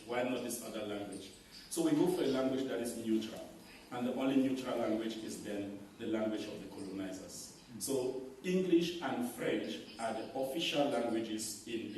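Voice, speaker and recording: A man's voice: medium pitch, darkish tone. Cameroonian male scholar, thoughtful Q&A delivery, distant mic, faint hum of the room. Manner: measured, repeats words while thinking. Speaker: conference speaker. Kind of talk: academic Q&A answer. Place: conference hall. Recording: echoey hall from far off.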